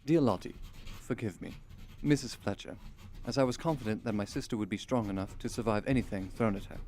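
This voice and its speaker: An actor playing a courteous, affectionate Victorian older brother in a radio drama, reading letters in formal, measured, polite diction. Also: male voice, on the low side, darkish tone, natural voice. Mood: apologetic, fond.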